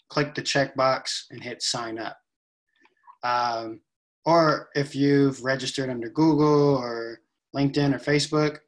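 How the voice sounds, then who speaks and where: Young guy on a webcam at home, casual how-to walkthrough, lots of ums, average pitch. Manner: relaxed, step-by-step, frequent ums. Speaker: event staff giving a tutorial. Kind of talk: casual screen-share walkthrough.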